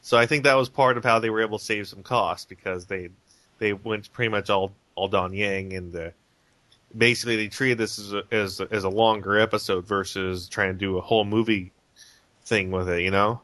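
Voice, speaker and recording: A man's voice: lowish voice, steady tone, a little fast, bright, forceful tone, dry recording. A man hosting a casual podcast chat, talking in a rambling way with lots of fillers and restarts. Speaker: podcast host. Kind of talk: casual chat about animated films.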